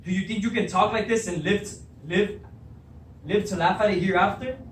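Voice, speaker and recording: Young man acting a high-voiced, indignant king. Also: normal speed, close to an everyday voice. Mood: angry, accusing. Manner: indignant, accusing. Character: angry, suspicious, proud king. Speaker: drama student. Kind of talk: beginner stage readers' theatre. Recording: roomy, distant mic, faint noise.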